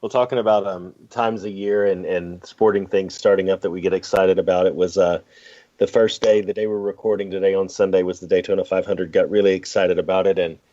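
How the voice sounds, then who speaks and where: Through slightly muffled, lo-fi audio, a man with a deep voice chats about sports as a podcast co-host. His delivery is casual and easygoing, with some hesitation as he goes. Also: flat delivery, a little fast, warm tone. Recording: dull, lo-fi audio.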